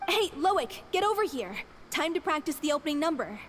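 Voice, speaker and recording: An actress playing an ailing, guilt-ridden young stage performer in a dramatic game, speaking earnestly. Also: female voice, high voice, big pitch swings, brisk, a bit bright, a little hiss or hum. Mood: brisk. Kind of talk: dramatic game character acting.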